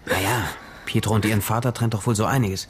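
Quick-talking streetwise detective, played by a man. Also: lowish voice, fairly even intonation, a little hiss or hum, natural voice. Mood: coaxing. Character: male private detective, glib, streetwise, coaxing. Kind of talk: radio drama dialogue.

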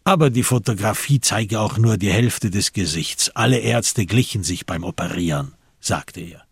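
A man narrating a radio crime drama in a measured, sober, literary manner, with a husky voice. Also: lowish voice, no room sound, studio quality, exaggerated voice.

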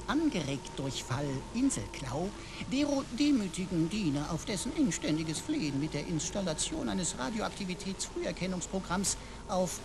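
A pompous machine servant for a sci-fi radio play, with a wildly lively, swooping delivery. It talks in florid, archaic courtesies, smug and servile at once. Clear noise runs behind the voice. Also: medium pitch. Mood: fawning, boastful. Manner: pompous, flowery, convoluted mock-courtly phrasing.

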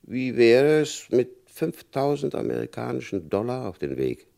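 An actor playing a stern, shrewd male FBI agent in a radio crime drama, speaking in a calm, probing, knowing way. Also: mid-range voice, moderate pace, creaky. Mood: coaxing.

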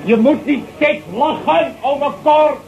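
An actor playing a loud bar-room regular who calls out and nags familiarly in a radio drama. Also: male voice, extremely high pitch, slow, gravelly, full character voice. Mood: nagging. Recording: dull radio recording with music.

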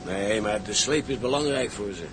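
A man's voice: on the low side, moderate pace, natural voice. An actor playing a cynical, amused seaman in a Dutch radio drama. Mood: sardonic. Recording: noisy track with watery ambience.

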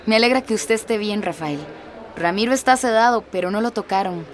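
A woman's voice: mid-range voice, fairly quick, natural voice. An actress playing a composed, caring emergency colleague of a policeman in a radio drama. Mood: concerned. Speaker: voice actor. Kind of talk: Spanish-language radio drama acting. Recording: noisy radio drama background.

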